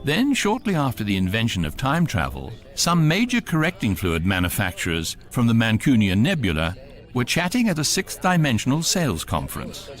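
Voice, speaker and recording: A man's voice: medium pitch, wildly swooping pitch, normal speed. A man with a British accent as the urbane, wry voice of a galactic guidebook, narrating a scripted radio comedy. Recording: faint music under the voice.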